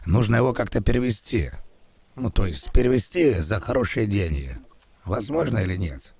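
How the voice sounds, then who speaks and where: A man's voice: fairly low pitch, expressive, moderate pace, darkish tone. A man voicing a pleading, silly rich businessman in a prank call, stammering and repeating himself as he wheedles. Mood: wheedling.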